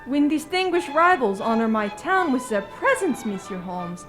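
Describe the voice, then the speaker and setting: A woman playing a suave, watchful male French police inspector in a radio drama, smooth and ceremonious. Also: on the high side, big pitch swings, normal speed, fairly bright tone, faint music behind.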